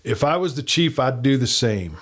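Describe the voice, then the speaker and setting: A man's voice: mid-range voice, lively intonation, moderate pace, fairly bright tone. A man voicing a wry, needling western outlaw who talks in a folksy frontier way, for an audiobook. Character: Hal Purvis, wry, needling male outlaw.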